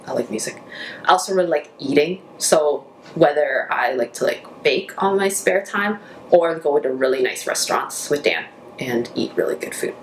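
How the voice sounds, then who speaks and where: A woman's voice: sits low, lively intonation, moderate pace, slight background noise, low-quality recording. A woman who works as a campus minister, grew up in Canada, talking casually about her life and friendship in an interview.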